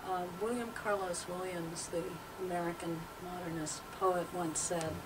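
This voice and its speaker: An older woman poet and teacher speaking and reading aloud with measured, deliberate phrasing. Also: lowish voice, steady tone. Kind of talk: interview talk and poetry reading aloud. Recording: distant mic, clearly noisy background.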